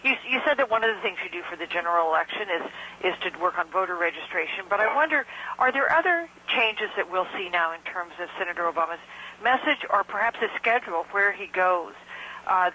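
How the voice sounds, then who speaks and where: Brisk, bright woman on a noisy phone. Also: lowish voice. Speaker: newspaper reporter. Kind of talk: asking a question on a press call. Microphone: telephone. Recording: noisy telephone conference line.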